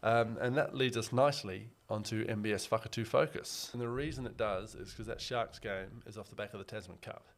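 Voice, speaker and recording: A man's voice: lowish voice, a little fast, few pauses. A young man, co-hosting a casual sports podcast in an upbeat, chatty way and laughing easily. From New Zealand. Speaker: podcast co-host.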